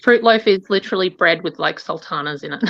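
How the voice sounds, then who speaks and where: A woman's voice: lowish voice, a little fast, gravelly, a bit bright, dry recording. A woman, chatting casually and rambling on a live stream. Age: 30s. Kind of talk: casual chat. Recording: dry, dull lo-fi sound.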